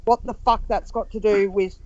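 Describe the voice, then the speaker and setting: A woman on an online panel ranting in exasperation, swearing heavily, with a voice she herself calls loud. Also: mid-range voice, fairly even intonation, moderate pace, no room sound. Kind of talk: casual panel chat. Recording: dull lo-fi stream audio.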